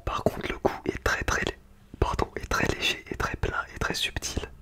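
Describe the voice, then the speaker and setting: Whispered, chatty ASMR voice. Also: moderate pace. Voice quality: breathy, unvoiced whisper. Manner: informal, slangy, chatty. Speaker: ASMR video creator and host. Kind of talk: ASMR whispering, casual food tasting review.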